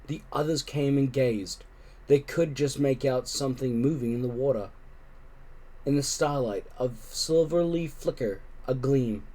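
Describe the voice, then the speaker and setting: A man reading a children's story aloud, expressively and with deliberate phrasing. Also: mid-range voice, warm tone, poor audio. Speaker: storybook reader.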